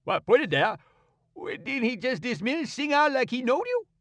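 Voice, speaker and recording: A man voicing a frightened, superstitious grown man who pleads and rambles in a high, jumpy voice. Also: sing-song, moderate pace, a bit bright, dry recording, heavily acted. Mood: astonished.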